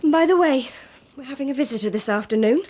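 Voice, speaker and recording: High-voiced, defiant daughter. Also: female voice, expressive, fast, a little mellow, a little hiss or hum. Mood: airy, casual. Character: defiant young daughter, coolly vindictive. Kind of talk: radio drama acting.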